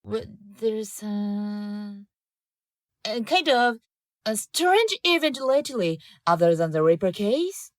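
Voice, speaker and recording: A woman's voice: mid-range voice, very animated, slow pace, dry recording, clean studio sound. A woman playing an eager, polite and inquisitive young woman in an audio drama, with music behind her. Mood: curious, hesitant. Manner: bright-eyed, inquisitive, helpful.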